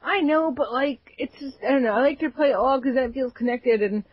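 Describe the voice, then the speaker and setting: A woman co-hosting a casual gaming podcast, giggly and rambling, with a lot of "like" in her talk. Her voice sits a little high. The recording is dull and lo-fi, with a close, dry sound. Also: a little fast, husky, no echo. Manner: giggly, rambling with many likes. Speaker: podcast co-host.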